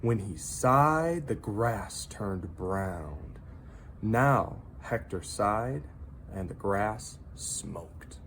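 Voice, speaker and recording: A reader narrating a children's story aloud, with dramatic, drawn-out emphasis on key words. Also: deep voice, animated, a bit bright, slight background noise.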